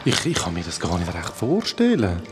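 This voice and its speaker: A man with a Swiss German accent playing an uneasy young companion in a dark tunnel in a children's radio play. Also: low voice, huge pitch swings, moderate pace, music in the background.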